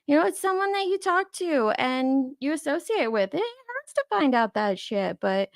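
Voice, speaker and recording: A woman's voice: high-pitched, sing-song, moderate pace, no echo, clean studio sound. A woman hosting a casual livestream, sarcastic and indignant, swearing freely, with a voice she calls whiny and babyish. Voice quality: describes her own voice as whiny and babyish. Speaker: YouTube livestream host.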